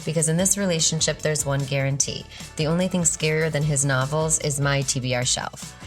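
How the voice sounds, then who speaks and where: A woman's voice: low voice, steady tone, brisk, soft background music. A woman co-hosting a podcast, moving between casual chat and neatly read scripted segments. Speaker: podcast co-host.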